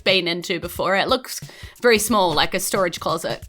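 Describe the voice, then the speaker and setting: A woman's voice: lowish voice, moderate pace, a bit bright, faint music behind. A woman with a New Zealand accent narrating a tabletop game as game master in an informal, loosely descriptive way.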